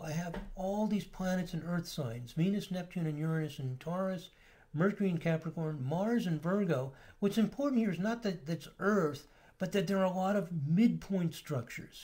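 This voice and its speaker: A man talks through a slideshow lecture in an easygoing, explanatory way, stopping here and there on small fillers. His voice sits somewhat high for a man yet sounds warm and mellow rather than bright. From United States. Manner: relaxed, explanatory, with filler words. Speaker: astrology lecturer.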